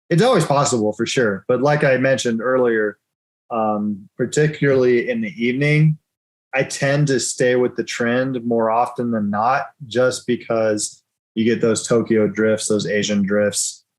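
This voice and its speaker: A man's voice: medium pitch, rough recording. A man hosting a live currency trading room, explaining chart moves in a casual, joking way and laughing often.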